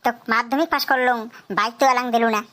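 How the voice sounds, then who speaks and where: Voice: medium pitch, steady tone, quick pace, sharp tone, soft background music, natural voice. A performer voicing a spoiled, stubborn teenage son in a comedy cartoon, whining and arguing in a North Bengal accent. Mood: demanding. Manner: whining, argumentative.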